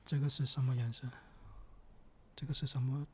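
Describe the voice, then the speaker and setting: A man speaking gently and patiently in soft, partly whispered ASMR, with hesitant, step-by-step phrasing. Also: mid-range voice, little pitch movement, normal speed, a little hiss or hum. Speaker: ASMR performer.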